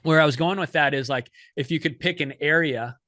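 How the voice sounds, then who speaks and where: Male podcast host, higher-pitched, rambling and filler-heavy, dry close sound. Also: fairly quick, sharp tone, no room sound. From United States. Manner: rambling, many fillers and restarts. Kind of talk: casual podcast conversation.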